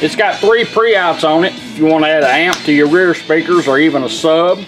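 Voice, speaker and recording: A man's voice: on the high side, brisk. A man giving an informal, folksy, step-by-step product demonstration in a plain-spoken way. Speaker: vintage car radio restorer demonstrating a product. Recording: music from the demonstrated radio behind.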